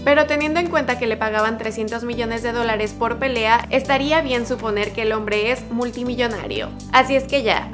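Young female host, upbeat and chatty, slightly high voice, over music. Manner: upbeat, chatty, addressing viewers directly. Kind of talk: scripted celebrity video presentation. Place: studio with plain backdrop, voice over music.

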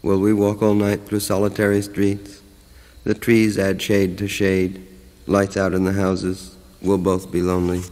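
A man reciting a poem as a scripted voice-over, in a measured, contemplative manner. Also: low-pitched, completely flat delivery, a little mellow. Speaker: poem narrator. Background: music.

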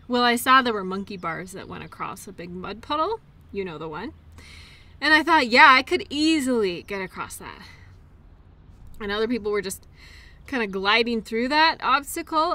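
Woman acting a bright, caring, playful girlfriend. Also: on the high side, exaggerated voice. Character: tired, playful, caring girlfriend at a mud race. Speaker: roleplay audio performer. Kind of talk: intimate roleplay audio acting, one-sided conversation.

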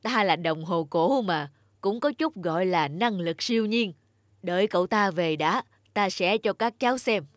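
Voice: medium pitch, expressive, normal speed, no room sound, studio quality, lightly acted. An actor voicing a kindly but stern old grandfather clock in a cartoon, speaking like a protective uncle. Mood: confident. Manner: avuncular, declarative. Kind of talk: cartoon dubbing.

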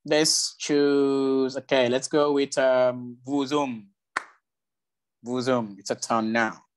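Woman, Vietnamese accent, English teacher, very deep voice, unhurried, corrects word by word, video call. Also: flat delivery. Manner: repeats and corrects words slowly and patiently. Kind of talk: online class, coaching students reading aloud.

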